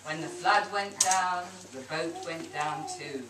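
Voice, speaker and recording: A woman's voice: low voice, slow pace, crowd noise. A woman telling a children's story live at a puppet show, using simple repeated phrases and speaking to the children. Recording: distant mic, echoey noisy room.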